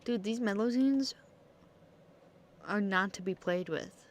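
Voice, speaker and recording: A woman's voice: mid-range voice, slow pace, slight background noise. A woman doing casual gaming stream commentary in a playful, blunt, slangy manner. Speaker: game streamer.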